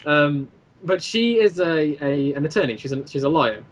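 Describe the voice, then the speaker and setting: Male podcast guest, slightly high voice, halting and rambling, explains his creative work in a loose conversational way. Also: animated. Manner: rambling, halting, repeats phrases as ideas form. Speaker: guest, creator of an audio drama series. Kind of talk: casual podcast chat, explaining own work.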